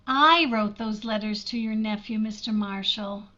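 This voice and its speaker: A woman's voice: mid-range voice, unhurried, sharp tone, muffled recording. A woman acting an earnest, emotive schoolteacher in her thirties in a 1940s-style radio romance. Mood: anxious, confessing.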